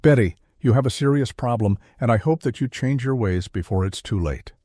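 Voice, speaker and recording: A performer playing a calm, needling mock reverend who hosts a scripted satirical interview in a measured, deadpan way. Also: medium pitch, no echo, clean studio sound, lightly acted. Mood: deadpan, pressing. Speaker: host.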